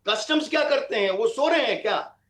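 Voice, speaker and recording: A man's voice: very high-pitched, lively intonation, brisk, fairly bright tone. A man arguing critically as a TV panel guest, posing rhetorical questions, in a roomy, echoing recording. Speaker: panel guest on a consumer affairs TV show. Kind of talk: TV panel discussion, opinionated argument.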